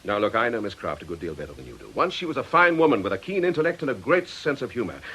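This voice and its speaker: A man's voice: on the low side, sing-song, fast talker, a bit bright, husky, full character voice. An actor playing an earnest, defensive male vicar in a British radio drama, articulate, courteous and pleading. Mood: indignant, impassioned.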